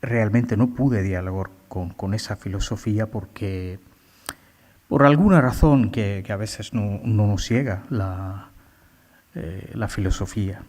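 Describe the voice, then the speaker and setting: Slow, halting man. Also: on the low side, darkish tone. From India. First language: Bengali. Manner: slow, halting, many filler sounds. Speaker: poet. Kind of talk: poetry reading with commentary. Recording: long hall reverb.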